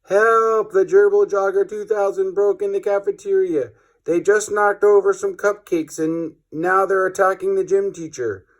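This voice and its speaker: Guy putting on a frantic kid from a comic book, higher voice, exclaiming. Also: normal speed, close to an everyday voice. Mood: panicked. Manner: exclaiming, excitable. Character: excitable panicked schoolchildren crying out for help. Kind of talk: children's comic read aloud.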